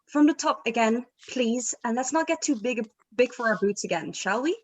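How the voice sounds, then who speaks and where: A woman's voice: fairly high pitch, animated, normal speed. A woman playing a bossy, short-tempered singer who leads a family band, scolding imperiously in a script read-through. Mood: curt, condescending.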